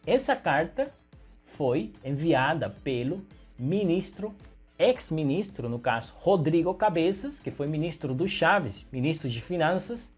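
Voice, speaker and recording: A young man with a Venezuelan Spanish accent gives political commentary in a somewhat husky voice. He is a native Spanish speaker who talks in an emphatic, explanatory way, straight to his viewers. Soft guitar music plays faintly behind him. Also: a little high, sing-song, unhurried.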